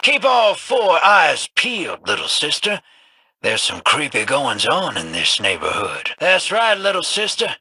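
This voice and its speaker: An actor voicing a wily, scruffy male gambler in a video game, drawling folksy wisecracks in a husky voice. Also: a little high, wildly swooping pitch, measured pace, pushed and bright, no room sound, exaggerated voice. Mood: sly.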